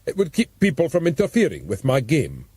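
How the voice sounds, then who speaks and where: A man's voice: a little high, huge pitch swings, fairly quick, darkish tone, breathy edge, no echo, exaggerated voice. A man voicing a scheming country landowner, polite, understated and coolly ruthless, in an audiobook. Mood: politely sly.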